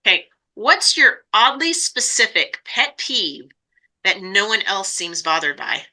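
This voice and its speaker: A woman hosts a casual podcast interview. Her voice is strikingly bright and sharp at an average female pitch, and her delivery is conversational. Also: big pitch swings, measured pace. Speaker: podcast host.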